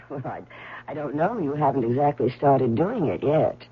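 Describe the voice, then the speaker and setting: Husky, flirtatious society patroness. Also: female voice, extremely low pitch, lively intonation, moderate pace, full character voice. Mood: teasing. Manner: teasing, flirtatious. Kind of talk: 1970s radio drama acting. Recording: muffled old radio broadcast.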